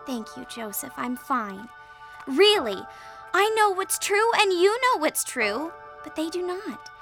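Earnest, high-voiced young daughter. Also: female voice, very animated, a little slow, a bit bright, soft background music, full character voice. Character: young daughter, teasing yet earnest and steadfast. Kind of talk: dramatized Bible story.

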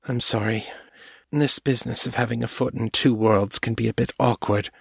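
A man's voice: medium pitch, fairly even intonation, normal speed, gravelly, breathy edge, dry recording. A man voicing a poised female vampire doctor who speaks dryly and with wry irony. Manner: dry and wry, ironic.

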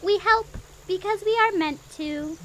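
A woman's voice: very high voice, a little slow, fairly bright tone. A woman voicing a solemn, formal adult mole guardian in an audio drama, speaking in a stately way.

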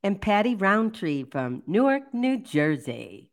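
An older woman with a low voice, casually co-hosting a live chat show, chatty and laughing easily. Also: very animated, takes it slowly. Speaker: livestream co-host.

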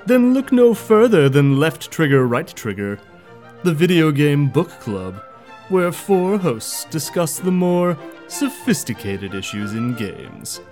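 Male promo announcer, slightly high voice, slow and pompous mock-highbrow delivery, music underneath. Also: very animated, darkish tone. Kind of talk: scripted tongue-in-cheek podcast promo.

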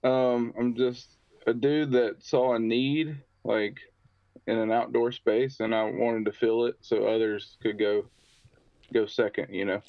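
A man's voice: mid-range voice, fairly even intonation, unhurried, no echo, dull audio. A man from the southern United States talking casually as a podcast guest, easygoing and hesitant with many ums. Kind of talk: casual interview talk.

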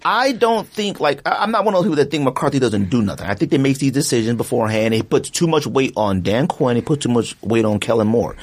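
Husky, animated man ranting. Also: mid-range voice, fast, hardly any pauses. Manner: animated, rambling, slangy rant. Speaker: podcast co-host. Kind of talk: casual sports chat.

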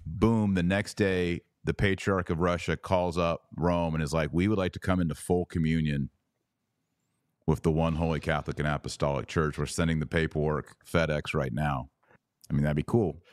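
A man with a low voice hosts a podcast in a casual, conversational style. He sounds informal and enthusiastic. The sound is dry, with very little room on it. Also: fairly even intonation, normal speed, dry recording. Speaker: podcast host. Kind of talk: casual chat.

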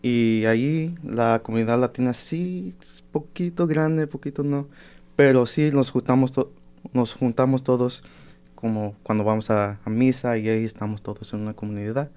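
A man's voice: medium pitch, normal speed, warm tone, clear, resonant tone. A young man student in a casual group discussion, speaking loosely and switching into English mid-sentence. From United States. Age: young adult. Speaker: university student.

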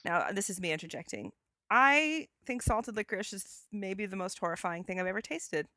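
A woman's voice: mid-range voice, lively intonation, normal speed, pushed and bright, no echo, studio quality. A woman co-hosting a podcast in casual chat, who laughs easily and reads listener mail aloud. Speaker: podcast co-host.

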